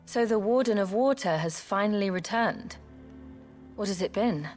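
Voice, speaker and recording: An actress playing a quiet, loyal young woman with a dry manner in a video game, speaking softly and with understatement. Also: medium pitch, normal speed, soft background music, natural voice. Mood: dry, resolved. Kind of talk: dramatic video game voice acting.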